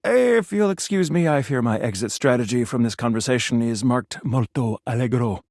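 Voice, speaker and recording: A man's voice: medium pitch, animated, moderate pace, a little mellow, no room sound, clean studio sound. A man voicing a pretentious intellectual writer in a video game, speaking with pompous, ornate diction. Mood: arch, playful. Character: pretentious, flowery, intellectual male writer and dandy. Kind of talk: video game character dialogue.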